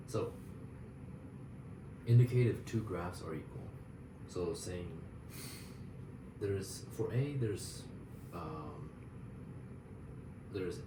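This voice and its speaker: A young man softly working through discrete math homework, thinking aloud in a half-whispered ASMR study-along. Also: on the low side, expressive, drawn-out words, gravelly, pauses often. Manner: soft-spoken, half-whispered, mumbling through math steps. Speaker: student. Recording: echoey room, distant mic, loud background hiss.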